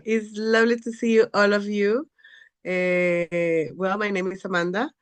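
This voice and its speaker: A woman's voice: medium pitch, level tone, unhurried, smooth, dry recording. Earnest woman, dry close sound. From El Salvador. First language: Spanish. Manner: earnest, repeats phrases for emphasis. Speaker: panel speaker. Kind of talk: encouraging talk to an audience.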